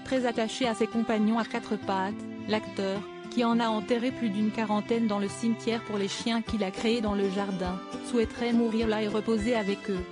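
A woman reading a scripted celebrity news narration in an even, synthetic-sounding way. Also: medium pitch, a little fast, hardly any pauses. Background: background music.

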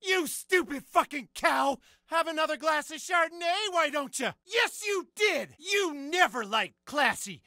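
An actor playing a middle-aged, high-strung American suburban dad in an animated comedy game, foul-mouthed and falsely cheery. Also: male voice, unhurried, hardly any pauses, no echo, clean studio sound, exaggerated voice. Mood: angry. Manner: swings between sugary pet names and shrill cursing.

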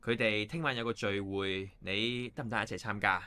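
A man's voice: fairly low pitch, steady tone, normal speed, bright, forceful tone, clear, resonant tone. An actor playing a friendly, eager, modest young man in a Hong Kong Cantonese radio drama. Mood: friendly, upbeat.

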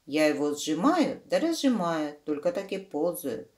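A woman's voice: low-pitched, very animated, a little slow, darkish tone, reverberant. A woman voicing an indignant little slug in a children's storybook, protesting and explaining itself.

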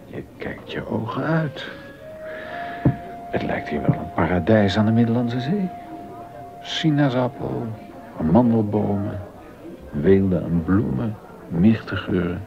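A curious baroque musician in a period drama. His lowish voice moves in lively swoops with a creaky grain. He questions and exclaims with dry wit, on slightly muffled audio. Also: takes it slowly, pauses often, over music, heavily acted. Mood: admiring. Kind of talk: Dutch-language period docudrama acting.

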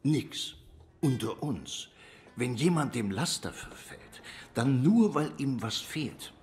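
A man's voice: mid-range voice, lively intonation, slow, a little mellow. An actor playing a bored, self-righteous dog policeman who rambles insistently in a noir video game.

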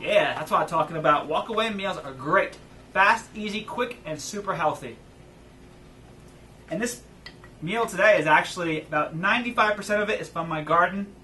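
A man in his thirties hosting an energetic, chatty cooking demo outdoors in his backyard garden. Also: sits high, normal speed, distant mic, background noise. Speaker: cooking show host. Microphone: far camera, outdoors.

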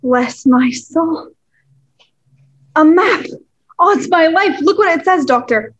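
Young woman doing hearty excitable gentleman squire, high voice, amateur radio play. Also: slow pace, dull audio, heavily acted. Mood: excited. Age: young adult.

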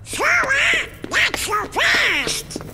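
A man voicing a squawky, excitable male cartoon duck in a game cutscene. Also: extremely high pitch, very slow, in a large hall, full character voice. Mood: scolding. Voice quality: squawking, garbled.

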